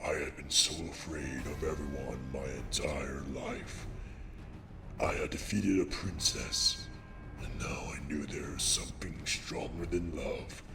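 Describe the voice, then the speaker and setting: A brooding unicorn tyrant in an animated comic drama, very deep and gravelly. He speaks slowly, with bitter menace and a melodramatic edge, over background music. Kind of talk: melodramatic comic fandub acting.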